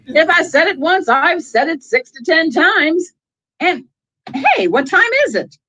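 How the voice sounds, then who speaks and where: A woman's voice: extremely high pitch, lively intonation, measured pace, pushed and bright, full character voice. A woman playing a breezy, wisecracking neighbour in an old-time radio comedy, with playful theatrical delivery.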